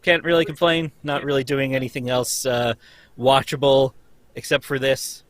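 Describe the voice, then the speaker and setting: A man's voice: medium pitch, little pitch movement, normal speed, fairly bright tone, no room sound. A man chatting casually and in an easygoing, upbeat way from a home basement recording setup. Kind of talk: casual chat.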